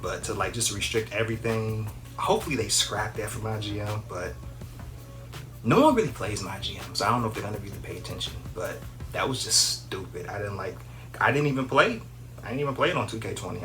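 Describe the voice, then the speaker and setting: A man's voice: on the low side, expressive, fairly quick, bright, forceful tone. A man in his thirties from Minnesota, rambling casually about video games with lots of fillers. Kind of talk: casual chat. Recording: music playing behind the voice.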